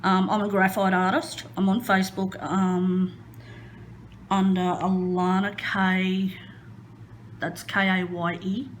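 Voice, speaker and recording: An Australian woman chatting nervously while she works on a craft, rambling with many pauses, fillers and small laughs, filmed indoors on a phone held over her hands. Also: mid-range voice, flat delivery, slight background noise. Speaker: crafting vlogger. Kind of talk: nervous casual chat while diamond painting.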